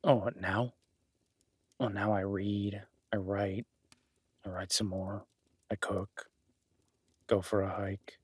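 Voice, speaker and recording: A man playing a solitary, kindly cabin dweller and former medic in an intimate audio roleplay, speaking haltingly with dry teasing and reassurance. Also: on the low side, level tone, darkish tone, slightly rough, no echo. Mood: wry, relaxed. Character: gruff-kind solitary cabin-dwelling former EMT, awkward, teasing, protective.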